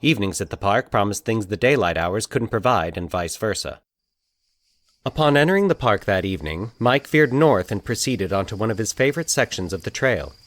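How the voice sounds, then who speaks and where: A man narrates scripted fiction in a fairly low voice. His intonation moves widely, and the recording is close and dry, with little room sound. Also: lively intonation, brisk, fairly bright tone, no room sound.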